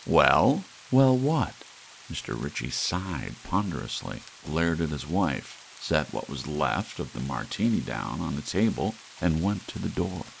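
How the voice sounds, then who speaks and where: Very deep, creaky male storyteller. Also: measured pace, hardly any pauses, low-quality recording. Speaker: audiobook reader. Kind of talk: ASMR audiobook narration of a short story. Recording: steady hiss, rain sound underneath. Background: soft rain.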